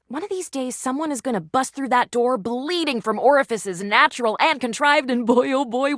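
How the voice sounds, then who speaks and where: A woman's voice: a little high, a bit bright, no room sound, studio quality. A woman playing a perky, eccentric, gleefully morbid lady doctor in a video game, with gushing, old-fashioned, melodramatic phrasing. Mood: morbidly eager. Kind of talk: animated video game character acting.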